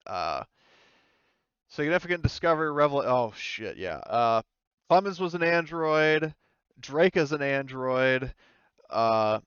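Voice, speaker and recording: A man's voice: mid-range voice, expressive, slow, long pauses, a bit bright, clean tone, dry recording. A man with a clean, resonant voice acts as game master in a casual, informal style. The sound is close and dry, though a little dull and lo-fi. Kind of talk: casual chat running a tabletop roleplaying game.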